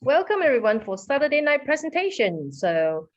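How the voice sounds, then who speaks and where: A woman from Malaysia with a Malaysian accent presenting at an online meeting, quick and full of fillers. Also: high-pitched, very animated. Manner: fast, with many fillers. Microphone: video call. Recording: dull lo-fi call audio.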